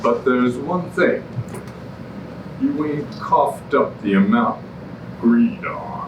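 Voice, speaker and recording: A flirtatious tough-guy detective played by a man in a stage comedy, drawling slowly through slangy noir patter. The pitch swings widely, and the voice sits far from the mic over clear noise. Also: sing-song, dull audio. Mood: tough, cocky. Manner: slangy hard-boiled patter with purple similes. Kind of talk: staged reading of a musical comedy. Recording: roomy, distant, noisy live stage recording.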